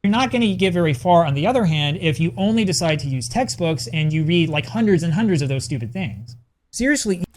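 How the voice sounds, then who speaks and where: A man delivering a scripted video essay with language-learning advice, in a brisk, earnest manner. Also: fairly high pitch, animated, darkish tone.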